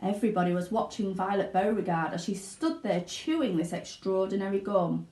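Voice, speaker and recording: A woman reading a children's storybook aloud as narrator, in an expressive style. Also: mid-range voice, a little fast, echoey room, poor audio. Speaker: school teaching assistant reading aloud.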